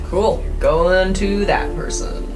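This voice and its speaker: A woman's voice: medium pitch, fairly even intonation, slow, over music. A woman casually describing her character's actions as a player in an improvised tabletop game. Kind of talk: casual improvised role-play.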